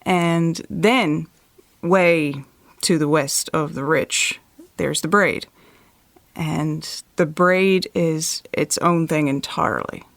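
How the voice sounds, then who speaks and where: Interview-style drama role: thoughtful local woman, low voice, unhurried explanations, very dry close sound. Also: creaky, no echo, full character voice. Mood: thoughtful, somber.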